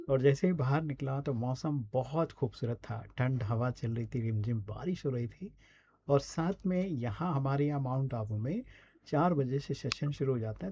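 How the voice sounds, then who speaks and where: A man's voice: mid-range voice, warm tone, husky, muffled recording. A man with an Indian accent giving a conversational, instructive lesson in a presenter's role, often adding short check-in tags. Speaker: radio presenter giving a speaking and writing lesson. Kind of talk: conversational teaching talk.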